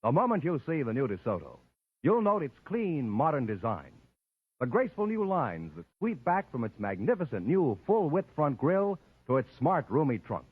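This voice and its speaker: A man's voice: a little high, sing-song, normal speed, breathy edge, dry recording. A man reading a scripted 1950s radio car commercial with a polished salesman's delivery. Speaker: commercial announcer. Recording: old radio, dry, tinny narrow band.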